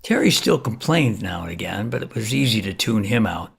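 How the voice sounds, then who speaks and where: A shabby older neighbour in an audio comedy, with a deeply gravelly, husky voice. He rambles in a folksy, hesitant way, like someone confiding a long tale. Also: lively intonation, fairly quick, exaggerated voice. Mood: chatty, confiding. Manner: folksy, hesitant, meandering storytelling. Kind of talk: comic stage monologue.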